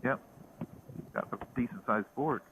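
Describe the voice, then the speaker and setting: A man's voice: mid-range voice, measured pace, a bit bright. Southern man rambling on a motorcycle. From American South. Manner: rambling, storytelling. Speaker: vlogger. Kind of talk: casual chat while riding. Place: outdoors on a motorcycle. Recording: tinny intercom with faint road noise.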